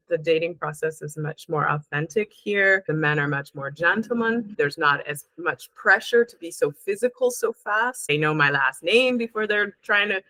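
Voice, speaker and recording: A woman's voice: fairly low pitch, pushed and bright, no echo, rough recording. A woman speaking casually as an interview guest. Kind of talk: casual conversation.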